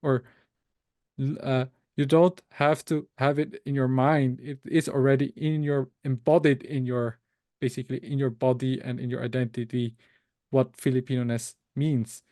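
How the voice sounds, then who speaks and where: A man from Austria whose first language is Tagalog, answering interview questions slowly, with many fillers and self-corrections. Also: mid-range voice, little pitch movement, dark tone, no echo, clean studio sound. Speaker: researcher and author, interview guest.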